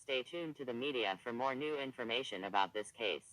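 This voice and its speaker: Bright synthetic male emergency announcer. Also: medium pitch, fairly quick, dry recording, poor audio. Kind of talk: scripted emergency broadcast announcement.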